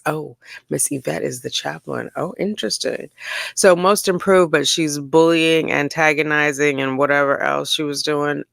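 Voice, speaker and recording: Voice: mid-range voice, creaky, fairly bright tone, no room sound, studio quality. A commentary host giving a dramatic reading of reports, with sassy, theatrical delivery and casual asides.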